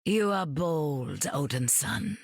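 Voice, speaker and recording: A woman's voice: sits low, expressive, takes it slowly, dry recording, clean studio sound, full character voice. A woman voicing an imperious, silky goddess of death in a video game, grand, theatrical and condescending. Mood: haughty menace.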